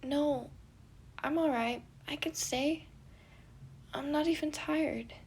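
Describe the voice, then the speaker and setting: Woman voicing a high, sweet, caring young messenger. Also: slow pace. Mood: drowsy. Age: teenage. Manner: gentle, parental, eager to reassure. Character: caring, fretful, sweet teenage messenger friend.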